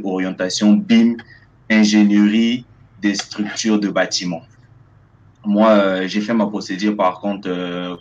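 A young man from the Republic of the Congo, a guest student who introduces himself on a livestream in a polite, measured way, speaking through a headset. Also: sits low. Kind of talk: formal self-introduction on a livestream. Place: small indoor room. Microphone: headset on a video call. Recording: muffled video-call audio, faint hiss.

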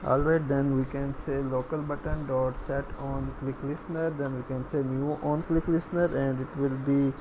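A man giving a step-by-step screencast programming lesson in a halting way, as if thinking aloud while typing. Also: medium pitch, flat delivery, background noise. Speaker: programming tutorial instructor. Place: voice-over recorded over screen capture.

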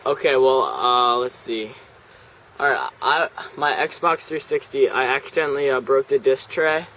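A teenage male making a deadpan prank phone call in a halting, straight-faced way, recorded outdoors at a table. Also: medium pitch. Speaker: prank caller. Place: outdoors on a house's back deck. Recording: noisy outdoor camera audio, insects, traffic.

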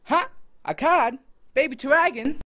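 Woman as an excitable high-pitched teenage boy hero. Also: a little slow. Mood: excited. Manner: exclaiming, slangy, dramatic. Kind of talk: anime parody dub.

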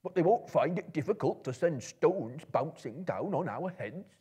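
A grumbling dwarf from a fantasy storybook, put on by a male reader. He sounds gloomy and husky, with his pitch pushed up. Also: expressive, a little slow, mellow, exaggerated voice.

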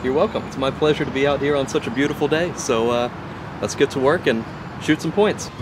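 Man explaining, mid-pitched voice. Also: brisk, over loud music. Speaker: archaeologist, surveyor. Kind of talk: explaining equipment in an interview. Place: outdoors by a wooden fence and trees.

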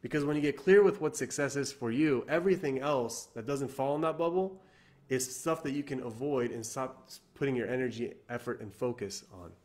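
A man's voice: mid-range voice, brisk, darkish tone. A man in his thirties, from Iran, giving an earnest motivational talk to camera from his living room, repeating himself and restarting often. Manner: earnest, repetitive, many fillers and restarts. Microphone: webcam or laptop camera at desk.

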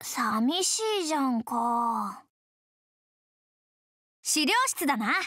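A woman voicing a brash, tomboyish young game character, hearty but easily flustered, who talks in rough, blunt slang. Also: a little high, lively intonation, drawn-out words, fairly bright tone, no room sound, studio quality, full character voice. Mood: casual. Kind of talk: video game character voice lines.

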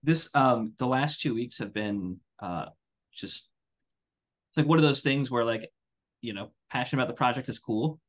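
A man's voice: medium pitch, moderate pace. A tired man in his thirties chatting casually on a podcast in a rambling, self-deprecating way. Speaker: podcast co-host. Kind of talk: casual chat. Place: home room, video call. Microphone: headset.

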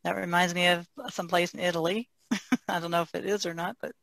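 A woman's voice: lowish voice, flat delivery, fairly quick, gravelly, fairly bright tone, no room sound. A woman artist on a podcast, chatting casually and asking simple questions.

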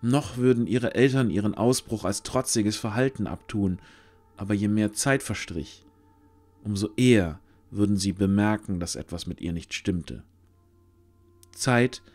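Low-voiced male fantasy narrator. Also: measured pace, a little mellow. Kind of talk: scripted fantasy audio drama narration. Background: music.

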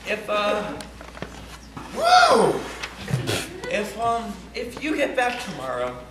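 A man's voice: on the high side, full character voice. A man performing a stage monologue as an unhinged, panicking murderer confessing by phone, stammering and breaking into nervous laughter. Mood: shaky, spent. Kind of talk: theatrical monologue as a one-sided phone call. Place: large room at a banquet, recorded on camcorder. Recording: echoey hall, loud noisy camcorder audio.

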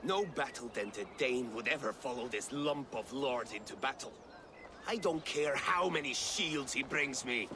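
A man voicing a gruff, stubborn, scornful Norse warrior in a video game. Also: medium pitch, unhurried, background noise, natural voice. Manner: blunt, scornful. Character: gruff, hot-headed, stubborn adult male Norse warrior. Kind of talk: dramatic game dialogue.

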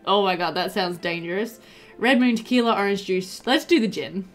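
A woman's voice: medium pitch, moderate pace, soft background music. A young woman giving chatty, informal, giggly commentary while playing a video game. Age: young adult. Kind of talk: casual let's play commentary.

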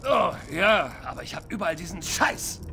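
An actor playing an energetic, excitable young male fighter in a dubbed video game. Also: high-pitched, normal speed, creaky, a little hiss or hum, exaggerated voice. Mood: startled. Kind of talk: German video game dubbing.

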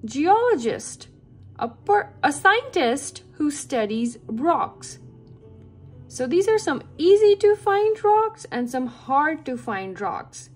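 High-pitched woman reading slowly to kids. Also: very animated, a little mellow, soft background music. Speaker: read-aloud reader for children. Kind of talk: slow read-aloud of a children's science book.

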